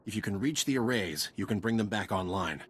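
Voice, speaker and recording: A gloomy soldier in a video game. The voice is low and very husky, with a dry, serious, dutiful manner. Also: male voice, expressive, fairly quick, a bit bright. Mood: grim, dutiful. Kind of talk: scripted video game cutscene acting.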